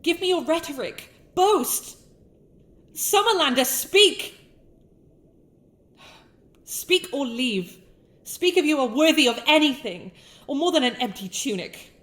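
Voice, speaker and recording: A woman's voice: sits high, expressive, slow pace, pauses often, fairly bright tone, heavily acted. A woman with a British accent playing a haughty young winter princess in a scripted ASMR roleplay, speaking in formal, courtly phrases. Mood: imperious, scornful. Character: haughty sharp-tongued young winter princess with a softer, regretful side.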